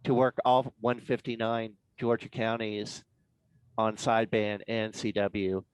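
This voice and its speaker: A low-pitched man explains things informally as a guest speaker at an online club meeting. His delivery is halting and explanatory, and the sound is dry and close. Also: flat delivery, clear, resonant tone, no echo, low-quality recording. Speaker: guest speaker presenting contest rules.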